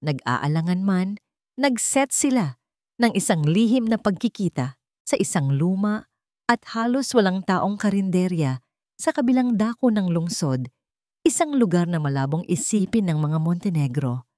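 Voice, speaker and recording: A woman with a Filipino accent narrates a dramatic tale in a fairly low voice. The sound is dry and close, with very little room in it. She reads from a script as the storyteller. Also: animated, normal speed, warm tone, no room sound.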